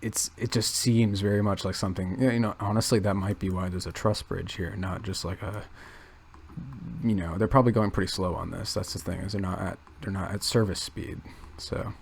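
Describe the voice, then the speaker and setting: A man quietly thinking aloud in a gravelly low register, rambling with many restarts and fillers. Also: steady tone, moderate pace, a little hiss or hum. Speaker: channel host. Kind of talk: casual rambling commentary.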